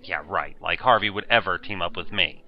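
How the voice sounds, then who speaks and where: A man's voice: low-pitched, a little fast. A man playing a brainy, fussy teenage schoolboy in an audio drama, with precise, bookish phrasing. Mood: dry, scheming. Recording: dull lo-fi recording, crowd noise behind.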